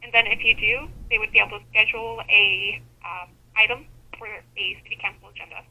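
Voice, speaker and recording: A woman's voice: medium pitch, steady tone, a little fast, slightly rough. A woman official on a customer service phone call, explaining things in a formal, procedural way. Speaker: city clerk official.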